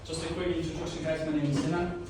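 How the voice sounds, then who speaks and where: A young coach from Austria talks players through a tactics slideshow. He keeps firing questions at the room and repeats his prompts. He is heard from a distance in a very echoey room with steady background noise. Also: fairly high pitch, quick pace. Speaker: football assistant coach. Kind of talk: team tactics presentation.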